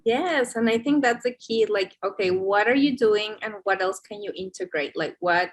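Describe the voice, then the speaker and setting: A woman's voice: medium pitch, normal speed. Hesitant woman facilitator on a call. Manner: hesitant, searching phrasing with many fillers. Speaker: session facilitator. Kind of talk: video call discussion. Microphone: video call.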